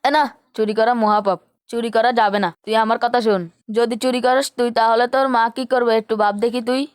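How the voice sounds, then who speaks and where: A woman voices an anxious young cartoon boy with a smooth, clear, fairly high voice. He sounds earnest and hesitant, recorded close and dry. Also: level tone, quick pace, no room sound, natural voice. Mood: earnest, cautioning. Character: hesitant young boy, worried about getting caught. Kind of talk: amateur children's cartoon dub.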